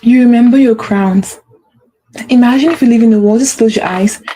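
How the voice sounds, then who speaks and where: A woman's voice: fairly high pitch, normal speed, warm tone, poor audio. A woman hosting a motivational talk, speaking with a stutter and repeating phrases for emphasis. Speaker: host.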